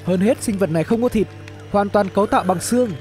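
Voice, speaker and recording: A man reading a scripted dramatic story as narrator, in an expressive storytelling manner. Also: sits high, quick pace. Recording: music bed under the voice. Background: background music.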